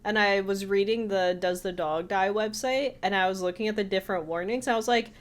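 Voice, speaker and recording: A woman's voice: mid-range voice, steady tone, fairly quick. A woman co-hosting a casual podcast, chatty and given to telling things as quoted back-and-forth. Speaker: podcast co-host.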